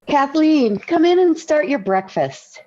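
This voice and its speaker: A woman's voice: high voice, lively intonation, a little slow, a little mellow. Lilting, motherly 1940s radio housewife. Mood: brisk. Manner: placating, motherly. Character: patient, soothing housewife and mother. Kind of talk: 1940s radio sitcom acting. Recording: dull 1940s radio recording.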